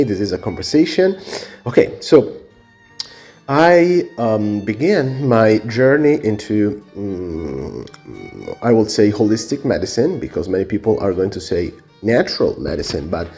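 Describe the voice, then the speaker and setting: A man with an Italian accent talks in a low, mellow voice, hosting a solo podcast without a script. He hesitates often, filling gaps with "um" and restarting phrases. Soft music plays faintly behind him on a phone recording. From Italy. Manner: hesitant, many fillers and restarts. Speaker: podcast host. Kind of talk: unscripted solo podcast talk. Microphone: cell phone.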